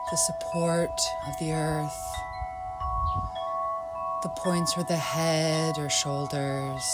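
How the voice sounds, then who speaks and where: A woman with a low, deep-set voice guides a yoga nidra meditation, speaking very slowly and softly. Her delivery is gentle and invitational, with clear music and chimes beneath her. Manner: soft, unhurried, invitational guiding.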